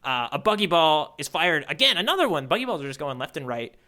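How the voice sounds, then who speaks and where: A man with a very bright, forward voice that sits a little high. He talks like a podcast co-host bantering with a friend, recapping a story in a casual, playful way. His delivery is animated and has a mock-earnest streak. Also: fast. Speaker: podcast co-host playing the tourist.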